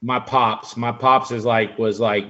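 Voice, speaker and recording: A man's voice: mid-range voice, steady tone, measured pace, a bit bright, lo-fi sound. A man in his forties, a pro angler guest, chatting casually on a video call, rambling with lots of fillers. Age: 40s. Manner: rambling, friendly, full of fillers. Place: room in a house. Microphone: video call webcam.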